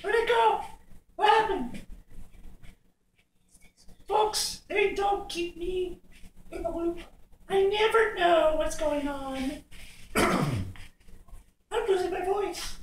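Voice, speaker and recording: Super high puppet show host voice, slow halting hammy patter, echoey room. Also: a little hiss or hum. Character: excitable, easily flustered sock puppet talk-show host. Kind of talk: improvised comedic talk-show patter. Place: living room, puppets behind a couch. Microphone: distant camera microphone. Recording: echoey room, far from the mic.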